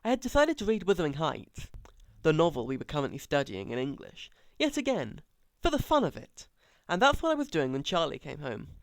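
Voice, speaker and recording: A man reads a novel aloud with sarcastic, exaggerated emphasis. His voice is smooth and very animated. It is recorded dry and close. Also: on the high side, fairly quick, hardly any pauses, no room sound. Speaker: amateur audiobook reader. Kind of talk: sarcastic audiobook narration.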